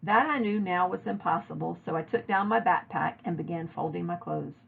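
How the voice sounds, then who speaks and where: A woman reading a children's novel aloud in an expressive narrating style, like a teacher reading to a class. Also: lowish voice, fairly quick, vocal fry, slightly rough. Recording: narrow, phone-like lo-fi audio.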